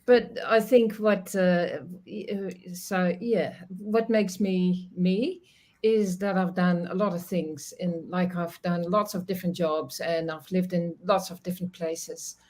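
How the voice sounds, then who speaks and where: Dutch-born woman, low, reflective. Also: steady tone, unhurried, a little mellow. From Netherlands. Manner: reflective, laughs often, many restarts. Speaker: guest. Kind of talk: casual interview chat. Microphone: video call.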